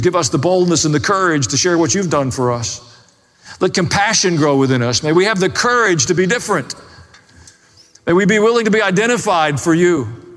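A man's voice: high voice, fairly bright tone. Man preaching in a reverberant hall. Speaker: pastor. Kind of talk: sermon and closing prayer. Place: large hall, preaching to a congregation. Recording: echoey hall with long reverb.

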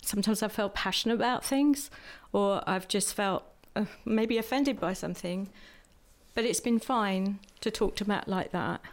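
Woman, church panel guest, earnest and measured, mid-range voice. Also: medium pitch. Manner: earnest, measured, with many pauses and fillers. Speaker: church speaker who prophesies.

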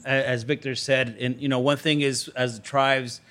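A man from Los Angeles with a medium-pitched voice speaks on a panel. He talks without a script, earnest and emphatic in his phrasing. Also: flat delivery, moderate pace, fairly bright tone. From Los Angeles, United States. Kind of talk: unscripted panel talk.

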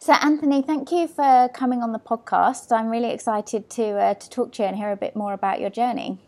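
A woman's voice: fairly high pitch, steady tone, brisk, mellow, soft background music. A woman hosting a podcast interview, asking friendly, encouraging questions. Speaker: podcast host. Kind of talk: interview conversation.

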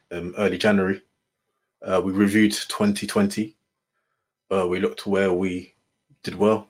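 A man's voice: sits low, husky. A man in a casual group discussion, making punchy points with sayings and closing them with "full stop". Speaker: panel guest.

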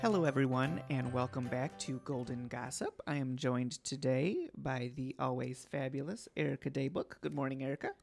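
A man hosting a podcast, slightly hoarse today, explaining things casually with many ums. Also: medium pitch, moderate pace, hardly any pauses, darkish tone, soft background music. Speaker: podcast host.

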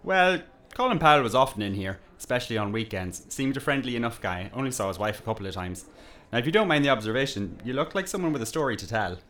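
An actor playing a chatty, teasing village pub landlord in an audio drama, with a folksy, jokey and politely nosy manner. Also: male voice, medium pitch, animated, quick pace, a bit bright, lightly acted. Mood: friendly, probing.

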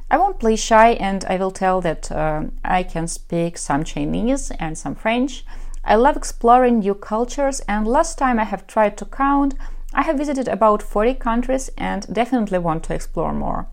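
A woman's voice: mid-range voice, lively intonation, moderate pace, gravelly. A woman from Ukraine, a matchmaker, talking frankly and wryly in a solo podcast monologue. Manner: confident, frank and wry.

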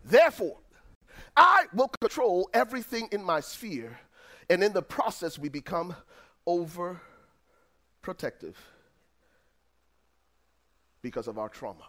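A middle-aged man with a Liberian accent, preaching a sermon as pastor, swinging from near-shouts down to low, quiet lines. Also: big pitch swings, slow pace, long pauses. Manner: preaching with dramatic swings, rising to shouts then dropping low.

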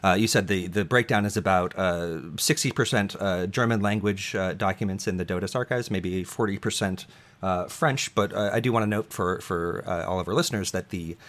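A man's voice: sits low, brisk, fairly bright tone. A man interviewing an archive director, speaking with many fillers and restarts. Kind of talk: interview conversation.

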